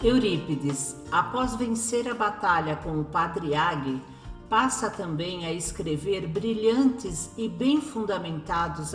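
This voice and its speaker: A woman narrating a radio drama from a script. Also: mid-range voice. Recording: echoey, music behind. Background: music.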